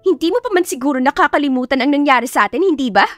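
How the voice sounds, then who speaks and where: A woman's voice: high voice, animated, very fast, dry recording, soft background music, voice pushed up. A woman voicing a jealous, spiteful young woman who speaks accusingly in a dramatised audiobook. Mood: furious, accusing. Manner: accusing, confrontational.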